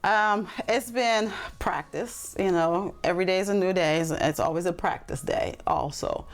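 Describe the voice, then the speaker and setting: A woman's voice: fairly low pitch, moderate pace. A woman talking earnestly and reflectively as a podcast guest, with frequent ums and restarts. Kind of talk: casual interview talk.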